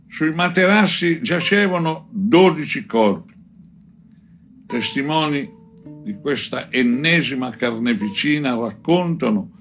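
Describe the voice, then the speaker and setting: Slow, deliberate male reader over faint guitar. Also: medium pitch, a bit bright. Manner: measured, deliberate phrasing with frequent pauses. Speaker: reader of a book chapter. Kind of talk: narrated audiobook-style reading of a first-person war chronicle.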